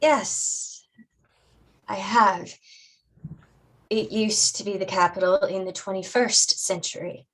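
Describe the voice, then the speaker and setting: An unhurried, mid-pitched voice: a woman playing a mother in a family drama reading. She is patient and caring, explaining things in a deliberate, reassuring way. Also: fairly even intonation, rough recording. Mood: encouraging.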